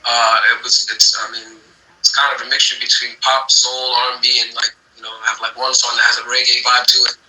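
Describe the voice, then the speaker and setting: A man from the United States whose first language was Arabic, a singer guesting on a casual interview podcast, speaking haltingly with many restarts. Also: medium pitch, flat delivery, pushed and bright, low-quality recording.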